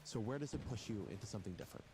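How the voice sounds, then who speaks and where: A man's voice: on the low side, animated. A man interviewing a politician and asking pointed, challenging questions. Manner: challenging, quick. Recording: clip with background noise.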